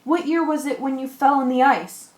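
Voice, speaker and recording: A woman's voice: high-pitched, steady tone, normal speed, darkish tone, lightly acted. A woman acting a practical, commanding shipwrecked sailor in an amateur radio play, reading earnestly with small stumbles. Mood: calm, amazed. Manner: earnest, stumbles over scripted lines. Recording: echoey room recording.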